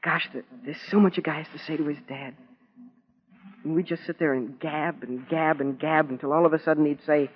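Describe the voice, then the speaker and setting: A scratchy, narrow-band 1940s radio drama recording carries a man's performance of a military school boy. He is wistful and proud, speaking in plain, earnest, halting boyish talk. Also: a little high, steady tone, lightly acted. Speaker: actor in a dramatic monologue. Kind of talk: 1940s radio drama acting, letter read as monologue.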